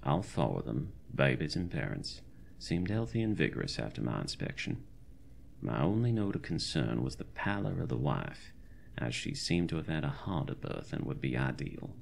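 A man's voice: a bass voice, very animated, moderate pace, gravelly, a little hiss or hum, exaggerated voice. A man playing a pompous, wry country doctor of 1919, dictating a diary in florid, old-fashioned language for a horror audio drama. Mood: musing, genteel.

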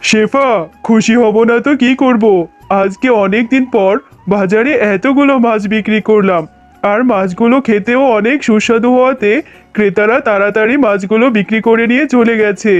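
A doting father fox from a village cartoon, performed by an actor, poor and devoted to family. The voice is medium in pitch, and the recording is dull and narrow, with faint music underneath. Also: fairly even intonation, normal speed, natural voice. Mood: happy. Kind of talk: Bengali children's cartoon dialogue. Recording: muffled, narrow-band sound with music.

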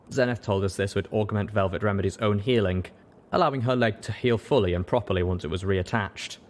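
A man reading scripted story narration as an audiobook narrator. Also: lowish voice. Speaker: audiobook reader.

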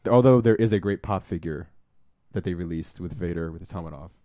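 Male podcast co-host, deep voice, very dry recording, chatty profane fan on casual movie commentary. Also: a little fast, mellow, dry recording, dull audio. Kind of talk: casual film commentary chat.

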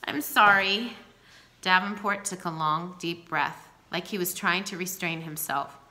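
A woman's voice: fairly low pitch, normal speed. A woman in her forties reading a novel aloud at home into a close webcam, acting out the dialogue. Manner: expressive, acts out the dialogue. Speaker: reader. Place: small room at home, indoors. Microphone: webcam at close range.

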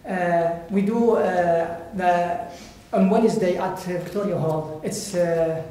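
Man, Iraqi Arabic accent, high-pitched, searching for words as he addresses an audience; heavy echo. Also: mellow. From Iraq. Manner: halting, searching for words in non-native English. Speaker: guest speaker, volunteer. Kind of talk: personal testimony to an audience. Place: bright indoor hall, at a lectern before a seated audience. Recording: very echoey hall, faint background noise.

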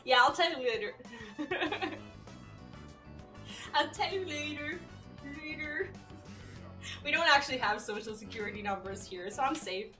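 Giggly high-voiced woman streamer from Serbia. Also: unhurried, pauses often, recorded from a distance, over music. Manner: chatty, giggly, talks to her dog and chat. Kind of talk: casual live-stream chat while gaming. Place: home streaming room.

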